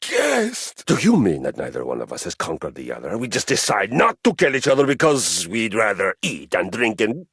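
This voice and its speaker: A gravelly bellowing Viking brute. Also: male voice, on the low side, huge pitch swings, moderate pace, few pauses, fairly bright tone, no room sound, exaggerated voice. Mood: bellowing warlike. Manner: grandiose, formal speech. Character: boastful Viking weight rack, brutal. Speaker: dating game character. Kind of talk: video game voice acting. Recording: dry studio recording.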